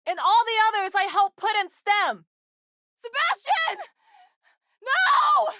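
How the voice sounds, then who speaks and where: A woman's voice: very high voice, very animated, takes it slowly, sharp tone, no room sound, heavily acted. An actress playing an earnest female team psychologist in a video game, speaking in an articulate, analytical way. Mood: shouting, panicked.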